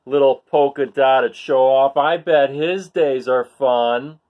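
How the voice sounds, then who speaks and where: A man voicing a bored, envious storybook barnacle with a deadpan, drawn-out, complaining delivery. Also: mid-range voice, narrow, tinny audio, lightly acted. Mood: envious, sarcastic. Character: bored, grumbling, envious barnacle from a picture book. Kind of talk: storybook read-aloud.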